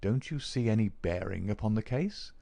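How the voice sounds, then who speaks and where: A man voicing a self-assured, observant detective in an audiobook, with a measured and courteous manner. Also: fairly low pitch, animated, creaky, dark tone, dry recording. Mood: musing, dry.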